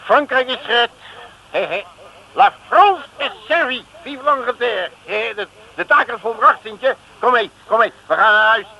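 Cocky, bumbling detective, bright voice. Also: male voice, very high-pitched, lively intonation, unhurried, full character voice. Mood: triumphant. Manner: colloquial, blustering, tags phrases with questioning grunts. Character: cocky bumbling comic detective, slangy, blustering, self-satisfied. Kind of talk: 1950s Dutch radio comedy serial acting. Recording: noisy vintage radio recording.

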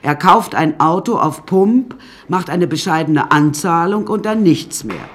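An actor playing a wry, rambling storyteller who recounts a troubled childhood in a radio drama monologue, with hesitant asides. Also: male voice, on the high side, expressive, measured pace, a bit bright, a little hiss or hum. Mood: scornful, wry.

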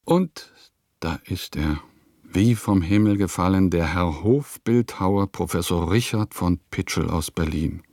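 An actor playing a sardonic provincial composer reading his diary in a radio play, with dry, deliberate phrasing. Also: low voice, a little mellow, dry recording.

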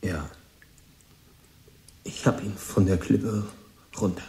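An actor playing a troubled, gentle sixteen-year-old boy who speaks haltingly and earnestly in a radio drama. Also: sits low, steady tone, slight background noise. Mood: subdued, halting. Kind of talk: German radio play acting. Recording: echoing, roomy studio scene.

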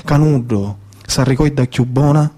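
A man's voice: mid-range voice, unhurried, mellow. A man in his thirties with a Sicilian accent, telling a tale theatrically in an incantatory, repetitive manner. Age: 30s. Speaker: stage storyteller. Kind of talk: theatrical Sicilian cunto storytelling.

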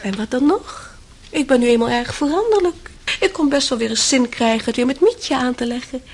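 A woman's voice: high voice, brisk, a little hiss or hum. A woman playing a capricious young temptress in a radio play, mock-sweet, coy and spiteful underneath. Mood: teasing, spiteful. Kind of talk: radio drama acting.

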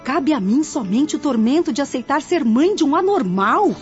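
A woman's voice: high-pitched, lively intonation, normal speed, darkish tone. A Brazilian woman acting a proud, distraught young woman in a radio drama, theatrical and pleading. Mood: anguished. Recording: dull lo-fi radio drama, music behind.

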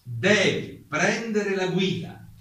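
A man's voice: high voice, takes it slowly, fairly bright tone. A man playing a foreign playwright questioned by a hostile committee in radio theatre, polite and hesitant, repeating his denials. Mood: insistent, protesting. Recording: very echoey distant room, faint noise.

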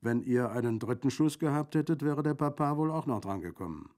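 A man's voice: mid-range voice, expressive, a little fast, darkish tone, pitched above the performer's own voice, natural voice. A man voicing a boisterous Corsican bandit in an audiobook, jovial and exclamatory, with a gleefully mocking, gruesome humour. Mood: gleefully macabre. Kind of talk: audiobook character acting.

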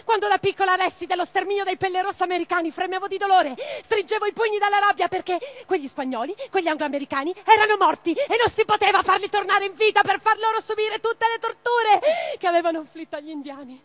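A woman playing an imprisoned, defiant revolutionary in a radio theatre monologue, reading her letters with theatrical, deliberate phrasing. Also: very high-pitched, fairly bright tone. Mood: indignant, impassioned. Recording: clearly noisy track with mains hum.

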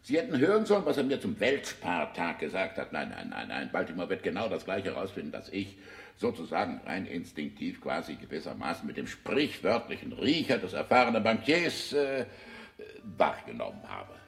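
An actor playing a pompous, self-important and excitable bank director in an absurdist radio play, speaking emphatically and repeating himself. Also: male voice, low-pitched, moderate pace, gravelly, full character voice. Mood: insistent, certain. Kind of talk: 1960s German absurdist radio play acting.

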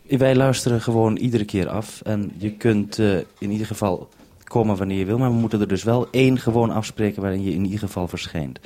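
A man presenting over a two-way radio link, talking in a measured, procedural way. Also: lowish voice, mellow.

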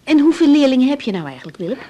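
A woman playing a young, harried doctor in a radio drama, expressive and full of questions, emotional and insistent. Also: high-pitched, rapid-fire. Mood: curious, chatty. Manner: expressive, questioning, stammers when upset. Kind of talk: 1980s radio drama acting.

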